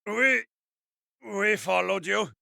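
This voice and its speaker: An actor playing a gruff, wary dwarf on a mission in a video game cutscene, with a raspy, gravelly voice. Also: male voice, sits high, slow, sharp tone, no echo, clean studio sound, full character voice. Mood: guarded, earnest.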